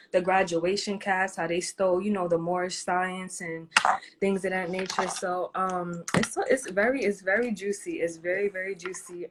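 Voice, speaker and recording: Rambling woman on a call, faint hiss. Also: on the low side. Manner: rambling, many fillers and restarts. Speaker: guest on a live stream. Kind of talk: casual chat.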